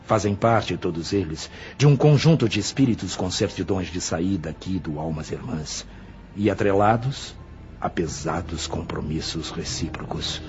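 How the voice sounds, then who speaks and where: A man playing a remorseful, benevolent spirit mentor in an audio drama, speaking grave, measured oratory with a Brazilian accent. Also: deep voice, darkish tone. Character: Brother Félix, solemn remorseful benevolent spirit mentor confessing past sins. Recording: dull lo-fi audio with prominent music.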